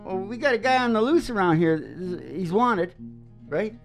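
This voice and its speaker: A woman with a lively, swooping delivery chats casually as a show host, with faint music in the recording. She rambles along in a folksy style, opinionated and teasing. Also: medium pitch, moderate pace. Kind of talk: casual rambling chat between songs.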